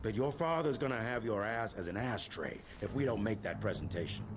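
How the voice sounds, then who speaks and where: An actor voicing a gruff, sardonic, foul-mouthed retired vampire hunter in a Wild West video game. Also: male voice, lowish voice, lively intonation, brisk, background noise, close to an everyday voice. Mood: grumbling. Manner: sardonic, crude. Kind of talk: video game cutscene acting.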